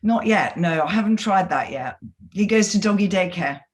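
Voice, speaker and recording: A woman's voice: mid-range voice, expressive, moderate pace. A woman from the UK, a chief executive on an online panel, talking in a chatty way with many fillers. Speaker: CEO and panelist.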